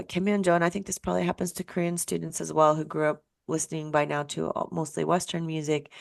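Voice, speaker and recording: A woman's voice: lowish voice, vocal fry, no echo, studio quality. A woman speaking as a guest musicologist on a video call, explaining thoughtfully and searching for words as she goes.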